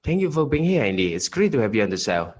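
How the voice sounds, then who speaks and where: Low-voiced male podcast host. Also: very animated, quick pace, a little mellow, slight background noise. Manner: brief prompts with hesitant fillers. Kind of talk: interview hosting.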